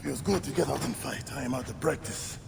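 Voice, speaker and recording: An actor playing a welcoming, loyal old Egyptian comrade in a video game, familiar and teasing. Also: male voice, mid-range voice, brisk, gravelly, noisy background. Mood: friendly, weary. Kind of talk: naturalistic video game acting.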